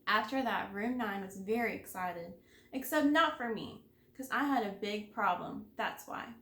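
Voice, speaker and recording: A woman's voice: medium pitch, a little slow, a bit bright. A woman reading a children's story aloud expressively, as a kindergarten teacher does for her class. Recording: echoey room.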